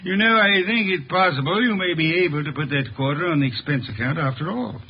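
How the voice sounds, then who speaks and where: Radio drama lead: imperious, eccentric detective, husky and higher-pitched, pompous formal diction, muffled vintage broadcast. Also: male voice, quick pace. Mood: commanding. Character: eccentric, imperious genius detective, pompous, unhurried, dryly amused. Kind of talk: 1950s radio drama acting.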